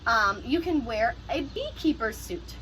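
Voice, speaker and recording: High-pitched woman reading to young children. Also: lively intonation, measured pace, a bit bright, a little hiss or hum, rough recording. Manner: simple, child-directed explaining. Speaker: teacher reading a children's book aloud. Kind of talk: picture-book read-aloud with simple explanations for young children.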